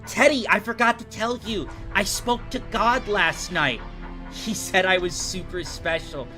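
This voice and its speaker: A man voicing a frightened, innocent eleven-year-old girl with a soft, childlike manner. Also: sits high, measured pace, faint music behind, voice pushed up. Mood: wondering.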